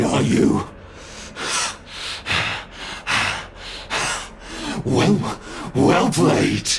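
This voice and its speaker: A smug monster stalks his prey in this horror roleplay, voiced by a man. His voice is gravelly and sits at a middle pitch, and he draws out his teasing lines at a slow, deliberate pace. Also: background noise. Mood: taunting. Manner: teasing, taunting.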